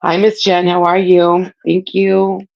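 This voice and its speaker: A middle-aged woman, raspy from a spring cold, chatting casually as she hosts a live craft demo and greets viewers by name. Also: fairly low pitch, flat delivery, slow pace, lo-fi sound. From Southern California. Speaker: craft livestream host. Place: home craft room.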